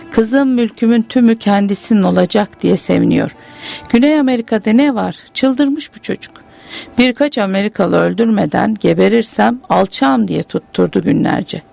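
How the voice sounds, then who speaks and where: A woman's voice: medium pitch, gravelly, a little mellow. A woman reading aloud as a bitter old pasha's widow, in a grim, brooding monologue. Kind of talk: literary reading.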